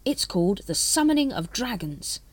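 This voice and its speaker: A woman's voice: mid-range voice, sing-song, normal speed, no echo, voice pushed up. A woman voicing an eager, earnest young male city watchman in a storybook, with emphatic delivery. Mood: triumphant.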